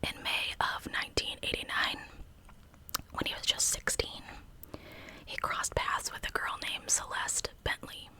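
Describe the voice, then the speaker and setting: A woman telling true crime stories as ASMR in a breathy, unvoiced whisper with a conversational manner. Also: unhurried, rough recording. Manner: conversational narration.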